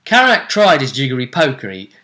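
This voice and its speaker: A man with a bright, forward voice at an average male pitch. He recounts a tabletop role-playing game casually as its game master, telling it with emphasis and dramatic pauses. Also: wildly swooping pitch.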